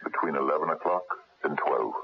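An actor playing a suspicious, hard-nosed investigator with a pointed, accusing manner in a radio drama. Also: male voice, a bass voice, fairly quick, creaky. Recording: muffled, hissy 1970s radio broadcast.

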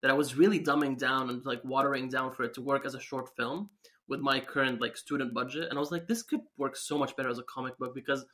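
A man's voice: mid-range voice, fairly even intonation, quick pace, a bit bright. A man in his twenties from Syria, a guest talking eagerly with a light laugh about how he got into making comics. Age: 20s. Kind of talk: casual interview chat.

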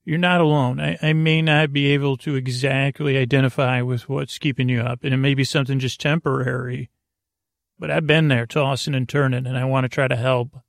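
A man with a gravelly voice hosting a sleep podcast, talking in a halting, meandering way, stammering and drifting into tangents. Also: mid-range voice, flat delivery, no echo, clean studio sound. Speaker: podcast host. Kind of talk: rambling bedtime monologue for a sleep podcast.

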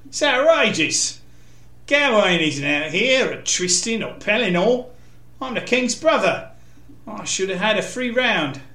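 A man's voice: high voice, expressive, measured pace, bright, forceful tone, roomy sound, distant mic, voice pushed up, heavily acted. A man voicing an arrogant, petulant young knight who sneers and complains. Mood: indignant.

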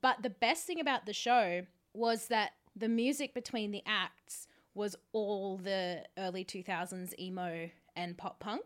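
A woman's voice: medium pitch, measured pace. A woman hosting a casual comedy podcast, speaking in an enthusiastic, emphatic and sweary way. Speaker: podcast host.

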